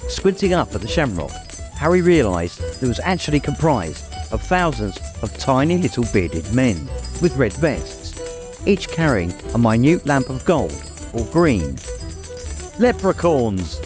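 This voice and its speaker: A man narrates an audiobook in an expressive, suspenseful storytelling style. His medium-pitched voice moves with lively intonation, and music plays behind it. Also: unhurried. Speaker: audiobook reader. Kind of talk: dramatic scripted story narration. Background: music, immersive production.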